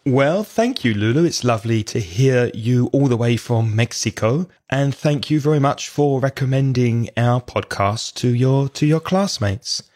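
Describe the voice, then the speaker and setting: A man hosting a podcast for English learners, his voice raspy and croaky, explaining grammar in a clear, teacherly way. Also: medium pitch, lively intonation, unhurried, few pauses, a little mellow. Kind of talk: casual podcast chat.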